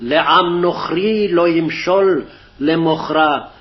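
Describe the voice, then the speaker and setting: A man's voice: fairly high pitch, fast. A man formally reciting scripture phrase by phrase, on a dull, lo-fi archival recording. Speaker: scripture reader. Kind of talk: scripted Hebrew Bible reading.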